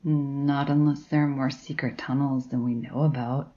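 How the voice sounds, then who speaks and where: A woman playing a blunt, bossy librarian in an audio drama, sarcastic and gruff in how she talks. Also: extremely low pitch, steady tone, moderate pace, dark tone, muffled recording. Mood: sardonic.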